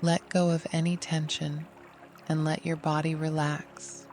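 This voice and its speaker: A meditation guide giving scripted, gentle, soothing instruction in an unhurried way. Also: mid-range voice, little pitch movement, faint music behind.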